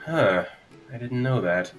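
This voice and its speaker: A man's voice: mid-range voice, expressive, slow. A man taking part as a player in a tabletop roleplay game, chatting casually and describing his character's moves. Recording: faint music behind. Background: faint music.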